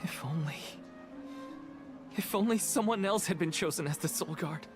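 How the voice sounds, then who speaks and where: A man voicing an earnest young guardian hero in a video game, halting and weighed down by guilt. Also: fairly high pitch, over music, lightly acted. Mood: anguished, guilty. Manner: halting, heavy-hearted. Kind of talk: video game cutscene acting.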